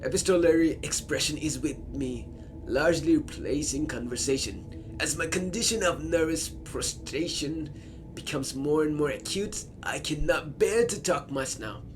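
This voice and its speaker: A man's voice: on the high side, normal speed, background noise. A man hosting a let's play, talking casually as he thinks aloud and reads out game text. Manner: chatty, thinks aloud, repeats himself. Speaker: gamer, let's play host. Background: game music.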